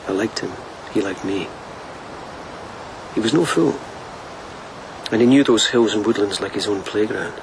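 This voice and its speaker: An actor playing a gentle, wry, devoted police lieutenant in a radio drama, speaking softly and with measured intimacy. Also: male voice, lowish voice, background noise.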